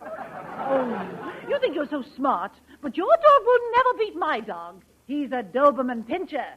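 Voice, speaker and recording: Haughty dog-fancier matron, radio comedy: grand, high, very animated delivery on a muffled old recording. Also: female voice, unhurried, some chatter behind, heavily acted. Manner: grand, self-important. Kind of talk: 1940s radio comedy acting. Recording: muffled old radio broadcast, audience behind.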